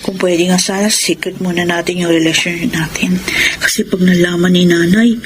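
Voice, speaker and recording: An actress playing a friendly young student with a secret boyfriend in a radio drama. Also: female voice, on the low side, steady tone, normal speed, noisy background, natural voice. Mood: secretive, worried.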